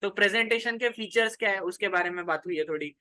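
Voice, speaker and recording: Bright, forceful Indian male tutor. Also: high voice, quick pace. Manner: chatty, colloquial, addresses students directly. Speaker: commerce tutor. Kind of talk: informal lecture mixing Hindi and English.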